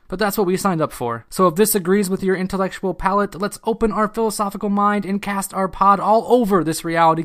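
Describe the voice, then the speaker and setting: A man's voice: sits high, a bit bright. An American man hosting a podcast, introducing and casually interviewing a guest.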